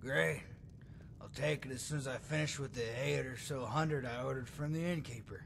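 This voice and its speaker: A man as a gravelly, crude tavern drunk. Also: medium pitch, normal speed, slight background noise, natural voice. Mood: sardonic, lecherous. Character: crude, lecherous drunken tavern patron, boastful and sarcastic. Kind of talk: video game character dialogue.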